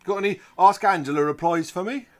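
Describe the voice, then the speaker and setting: A man plays a smug office boss in an audio drama. His voice sits on the high side, breezy and condescending, with false cheer. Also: fairly quick, lightly acted. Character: smug, whimsical male boss, quietly menacing. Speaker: newspaper or magazine boss.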